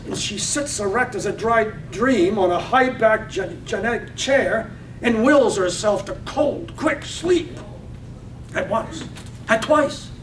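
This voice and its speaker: A man reading poetic scripted narration as a drama narrator, deliberate and lyrical. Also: high-pitched. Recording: roomy, distant mic, faint ambient noise.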